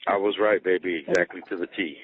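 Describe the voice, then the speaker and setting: A man's voice: sits low, fairly even intonation, quick pace. A man talking casually on a jail phone, explaining things repetitively with slang and swearing. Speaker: jail inmate on a phone call. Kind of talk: casual personal phone chat. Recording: tinny jail phone line.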